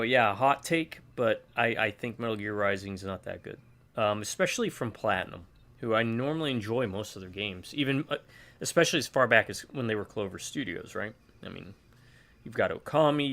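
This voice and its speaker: A man hosting a live gameplay stream, chatty and opinionated, with quick agreeing interjections. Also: fairly low pitch, fairly bright tone. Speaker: gaming channel host. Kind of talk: casual gameplay chat.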